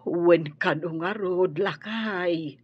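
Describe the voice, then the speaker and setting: An actress playing a calm elderly wife and mother with a husky voice in a radio drama. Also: lowish voice, very slow, no room sound, full character voice. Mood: placid. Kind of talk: Ilocano radio drama acting. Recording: dull radio recording.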